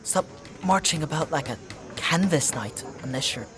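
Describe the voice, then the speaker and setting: An actor playing a wary, streetwise young companion in a dark fantasy audio drama, talking informally and nudgingly. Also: mid-range voice, expressive, normal speed, over music, natural voice. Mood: hushed, coaxing.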